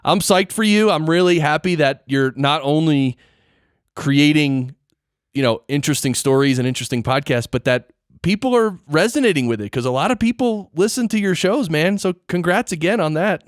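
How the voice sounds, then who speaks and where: A man's voice: fairly high pitch, expressive, normal speed, no room sound, clean studio sound. A man hosting a podcast in casual, upbeat interview chat. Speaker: podcast host.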